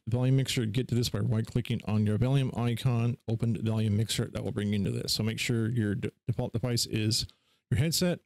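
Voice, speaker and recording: A man casually talking through software setup steps in an informal, unscripted tutorial style. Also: medium pitch, gravelly, no room sound. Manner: informal, instructional, step by step. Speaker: tutorial host. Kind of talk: casual unscripted screen-share software walkthrough.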